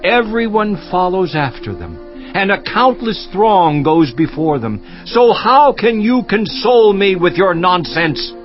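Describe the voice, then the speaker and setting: An anguished man of ancient scripture acted out by a male performer argues in a fairly high voice, impassioned and theatrical, with sweeping intonation. The recording is somewhat dull. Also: very animated, a little slow. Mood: accusing, defiant. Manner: impassioned, declamatory, rhetorical questioning. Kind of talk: dramatized scripture reading, theatrical monologue. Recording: dull, muffled audio with music behind.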